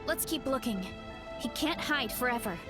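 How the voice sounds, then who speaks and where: A woman's voice: high-pitched, lively intonation, moderate pace. A woman voicing an easygoing young farm girl adventurer in a video game, casual and thinking aloud. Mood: determined. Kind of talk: scripted video game voice acting. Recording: music behind.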